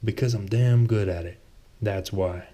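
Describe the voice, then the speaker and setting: A man voicing a blunt, bitter, stubborn swordsman in a storybook reading, curt and gravelly. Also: lowish voice, a little slow, warm tone. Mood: gruff. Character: gruff, bitter, stubborn swordsman, old friend.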